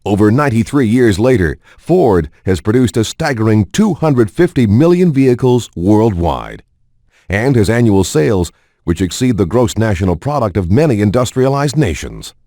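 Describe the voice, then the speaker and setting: A man's voice: lowish voice, very animated, moderate pace, mellow, no echo, studio quality. A man reading formal, scripted promotional narration as a voice-over for a game. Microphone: studio voice-over.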